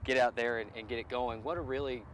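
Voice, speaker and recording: Fast-talking male sports show host. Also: medium pitch, steady tone, fairly bright tone, a little hiss or hum. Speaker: sports show host and radio play-by-play announcer. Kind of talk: conversational sports interview hosting.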